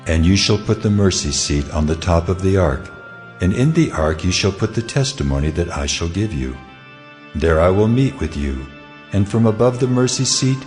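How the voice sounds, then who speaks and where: Casting: a man to play God in scripture drama, deep and commanding, measured delivery, heavy reverb over music. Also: darkish tone. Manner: solemn, authoritative, measured. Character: God, solemn commanding divine male voice.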